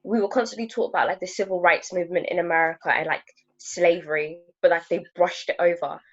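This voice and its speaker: Young london woman, slightly low voice, casual chat, kinda muffled video call audio. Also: fairly even intonation, fast talker. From East London. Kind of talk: casual group discussion.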